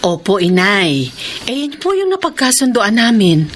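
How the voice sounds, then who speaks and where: Woman doing a filipino radio drama mom, widowed n in love, defensive, very lively melody, bit of noise behind. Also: mid-range voice, normal speed, lightly acted. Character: lovestruck, defensive widowed mother.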